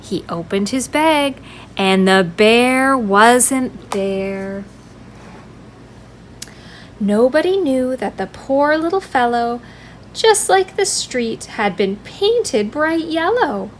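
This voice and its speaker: Female children's storytime reader, high voice, unhurried and very animated, friendly sing-song delivery, clear background noise. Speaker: children's library storytime host. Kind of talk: expressive read-aloud of a rhyming picture book for young children.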